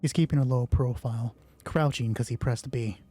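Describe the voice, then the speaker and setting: A man hosting a solo roleplay game, narrating it dramatically and deliberately in short phrases. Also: mid-range voice, mellow, slightly rough.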